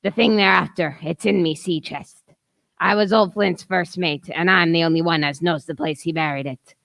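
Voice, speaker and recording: A woman acts out a gruff old male sea captain for a radio play. The voice sits low and crackles with heavy gravel. He is desperate and hungry for rum. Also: fairly even intonation, moderate pace, a bit bright, dry recording. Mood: gruff, uneasy.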